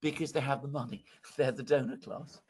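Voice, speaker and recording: A man's voice: mid-range voice, fairly even intonation, moderate pace, a little mellow. A man in a casual political discussion, recorded faintly with other voices behind him. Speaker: political commentator.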